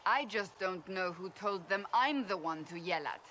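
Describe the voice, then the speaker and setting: A woman's voice: mid-range voice, lively intonation, moderate pace, a bit bright, a little hiss or hum, natural voice. An actress playing a stern, fair-minded but exasperated female warrior in a video game, blunt, clipped and firm. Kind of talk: video game character dialogue.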